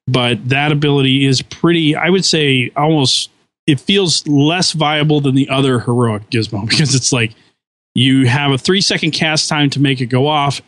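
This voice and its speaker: A man's voice: medium pitch, no room sound. Man explaining game details, dry sound. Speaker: podcast guest. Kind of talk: casual podcast chat.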